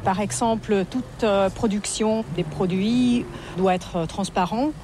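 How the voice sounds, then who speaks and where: A woman's voice: medium pitch, a little slow. A woman giving an explanatory guided tour in an interview, searching for her words as she talks outdoors. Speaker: local design district guide. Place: outdoors on city streets. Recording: clear outdoor city traffic noise. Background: street ambience, passing vehicles.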